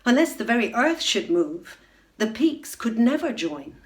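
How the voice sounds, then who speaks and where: Middle-aged woman, bedtime story reading, slow and deliberate, expressive, hushed at tense moments, home webcam. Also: a little high. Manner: unhurried and expressive, dropping to a hush at tense moments. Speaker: storyteller reading a children's book. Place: room at home, indoors. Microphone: webcam facing the reader.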